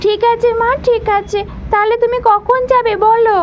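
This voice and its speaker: A woman's voice: very high voice, level tone, normal speed, a little hiss or hum, pitched above the performer's own voice. A woman voicing a naive, chatty young daughter in a children's doll story, with a plaintive manner. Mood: anxious.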